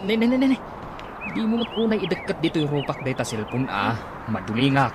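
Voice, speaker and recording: A man's voice: a little high, very animated, a little fast, background noise. A man playing a fussy, grumbling husband in a radio comedy drama.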